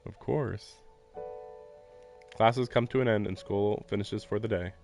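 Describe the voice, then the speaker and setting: Low-voiced man streaming, music behind. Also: fairly even intonation, a little slow, creaky, a little mellow. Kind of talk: reading a visual novel aloud with casual commentary.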